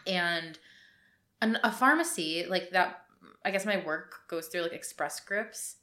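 A woman co-hosting a podcast in casual chat, talkative and quick to laugh, with casual swearing. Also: on the low side, pushed and bright. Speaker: podcast co-host.

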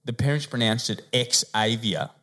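A man with an Australian accent co-hosting a podcast, telling anecdotes with drawn-out asides in casual banter. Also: medium pitch, animated, fairly bright tone. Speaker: podcast co-host.

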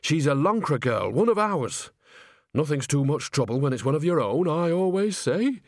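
A man's voice: a little high, big pitch swings, normal speed, dry recording, clean studio sound, voice pushed up. A man voicing a cheerful, sly old village witch and mother, chatty and folksy, in a storybook reading. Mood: concerned.

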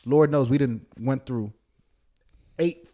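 A man's voice: mid-range voice, slow pace, dark tone. A man hosting a podcast in casual chat, talking emphatically with slang and swearing. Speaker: podcast host. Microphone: podcast studio microphone.

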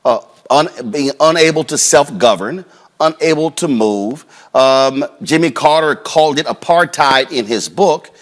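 Male moderator, slightly high voice, conversational and halting, probing his way through political talk.

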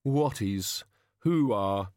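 A man voicing an exasperated, sharp-tongued young woman in an audiobook, challenging and indignant. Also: lowish voice, animated, extremely slow, no echo, clean studio sound. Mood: weary, impatient.

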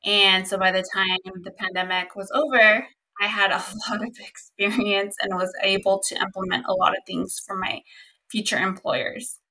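A young woman hosting her own podcast, talking casually and earnestly with lots of "um" and "like," with a slightly squeaky voice. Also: fairly even intonation, normal speed, bright, forceful tone, rough recording. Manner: chatty, earnest, lots of fillers like "um" and "like". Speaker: podcast host. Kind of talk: casual solo podcast talk.

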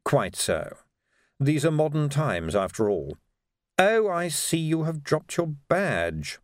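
A man voicing an unruffled, drily amused aristocratic city ruler in an audiobook, measured, formal and understated. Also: on the high side, big pitch swings, no room sound, studio quality, voice pushed up. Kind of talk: dramatic audiobook character dialogue.

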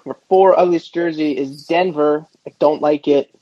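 A man's voice: fairly high pitch, steady tone, moderate pace, no echo. Slangy man bantering, slightly dull lo-fi audio. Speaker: podcast co-host. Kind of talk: casual sports podcast banter. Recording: dull lo-fi close podcast audio.

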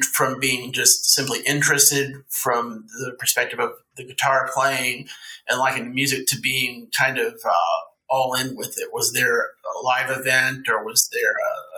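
A man's voice: mid-range voice, pushed and bright, rough recording. A man hosting a podcast, asking interview questions in a casual conversational style. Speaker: podcast host.

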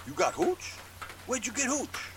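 A man acts out an exasperated old pal in a noir radio play. His voice is high and swings widely as he pleads and pushes. Rain sounds behind him. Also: sing-song, a little slow, noisy background, heavily acted. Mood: disgusted. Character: exasperated, fast-talking old friend bringing a shady job. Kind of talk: noir audio drama acting.

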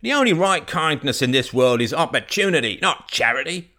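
A man voicing a blunt old rustic farmer who speaks in a folksy, deliberate way. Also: fairly high pitch, expressive, sharp tone, voice pushed up. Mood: gruff persuasion.

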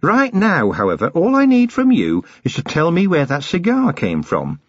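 A man's voice: on the high side, wildly swooping pitch, normal speed, dry recording, highs cut off, voice pushed up. A man voicing a shrewd female dwarf police officer in a fantasy audiobook, courteous, formal and cheerily firm. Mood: smiling pressure. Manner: formal, courteous, quietly pressing.

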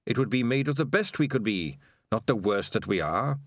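A man voicing a gentle blind rat. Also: mid-range voice, normal speed, no echo, studio quality, natural voice. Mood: earnest. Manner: calm, gentle, earnest. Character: small, earnest blind male rat, gentle, brave. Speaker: audiobook reader. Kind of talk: audiobook character voice.

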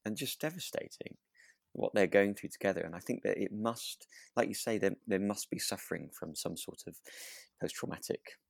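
A man with a very low, creaky voice chats casually about a radio drama as a co-host. He feels his way through sentences, repeating words, in a dry, close sound. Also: moderate pace, dry recording. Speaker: podcast co-host.

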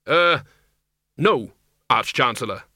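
A man's voice: mid-range voice, lively intonation, slow pace, pushed and bright, no echo, studio quality, full character voice. Man playing a meek, anxious wizard underling. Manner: hesitant, deferential. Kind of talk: audiobook character voice.

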